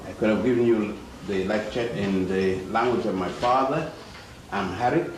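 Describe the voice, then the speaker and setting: Older male lecturer, slow and halting, recorded far off in an echoey, noisy hall. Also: lowish voice. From Ethiopia. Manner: slow, halting, with many pauses and fillers. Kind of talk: public lecture.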